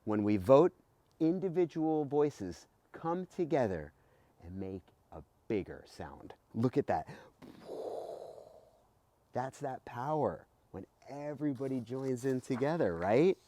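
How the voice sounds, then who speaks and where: A middle-aged American man, a teacher reading aloud to children with an expressive, emphatic delivery and stretched key words, recorded outdoors at close range. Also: medium pitch, takes it slowly. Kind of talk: animated children's read-aloud with asides. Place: outdoors beside a house in a garden.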